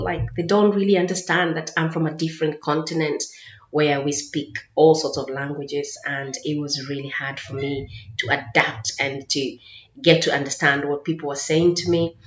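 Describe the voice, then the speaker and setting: Low-pitched Kenyan woman in an echoey room. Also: steady tone, moderate pace. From Mombasa, Kenya. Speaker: vlogger sharing personal experiences. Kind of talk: casual personal storytelling. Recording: roomy echo, dull lo-fi sound.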